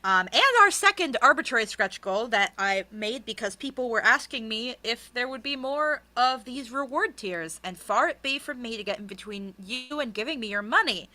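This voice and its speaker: A woman's voice: a little high, expressive, normal speed, hardly any pauses, pushed and bright. A woman speaking as an interviewed author in casual, chatty talk, candid and jokey with a self-deprecating streak. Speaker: author being interviewed about a crowdfunded book.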